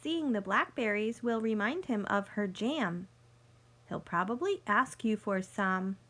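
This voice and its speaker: A woman reading a picture book aloud like a teacher, speaking deliberately to young listeners and explaining as she goes. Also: mid-range voice, animated, fairly bright tone. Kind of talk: read-aloud storytelling with teaching asides.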